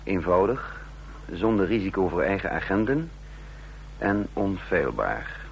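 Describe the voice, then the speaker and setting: An actor playing a scheming, self-assured undercover officer who narrates a radio drama in a clipped, deliberate, commanding way. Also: male voice, low voice, very animated, creaky, heavily acted. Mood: wry, confiding. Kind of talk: Dutch radio drama acting with first-person narration. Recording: noisy radio drama recording with hum.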